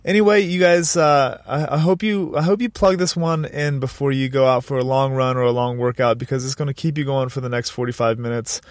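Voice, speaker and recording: A male podcast host with a stuffed-up, nasal voice from a sinus infection. He talks in an upbeat, energetic way and often restarts his sentences. The sound is very dry and close, with no room in it. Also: mid-range voice, brisk, hardly any pauses, no room sound. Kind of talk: podcast intro and interview. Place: Colorado.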